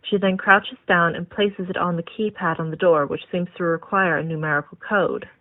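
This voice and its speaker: Lady narrating an audio drama script, lowish voice, kinda muffled lo-fi mic. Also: steady tone, normal speed.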